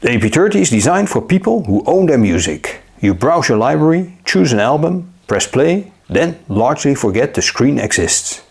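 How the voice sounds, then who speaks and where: A man narrating a scripted gadget review in a measured, upbeat way. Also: mid-range voice, sing-song, vocal fry, breathy edge. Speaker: tech reviewer.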